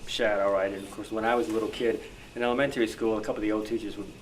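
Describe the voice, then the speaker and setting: A middle-aged man speaking informally and haltingly as a researcher at a club meeting. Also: lowish voice, level tone, very noisy. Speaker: old-time radio researcher.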